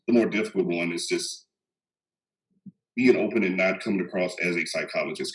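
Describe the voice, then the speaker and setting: A man's voice: low voice, low-quality recording. A man in his thirties with a faint Texan accent, a psychologist talking casually on a panel with many ums and restarts. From Texas. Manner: measured, many "um"s and restarts, chuckles. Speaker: guest psychologist.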